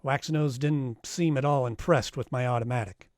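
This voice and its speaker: An actor playing a tough, wry private detective in a 1940s-style radio drama, narrating and trading lines. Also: male voice, medium pitch, normal speed, a little mellow, no echo, clean studio sound, lightly acted. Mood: wry narration.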